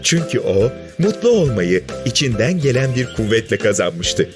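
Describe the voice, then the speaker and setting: A man's voice: mid-range voice, very animated, moderate pace, music in the background. A man narrating a scripted story for children.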